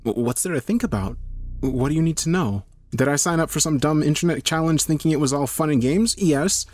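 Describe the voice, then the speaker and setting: A man's voice: fairly high pitch, lively intonation, fast talker, pitched above the performer's own voice, lightly acted. A man voicing a panicky, blunt young woman in an audio story, urgent and impatient, with a defiant edge. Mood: agitated, defiant.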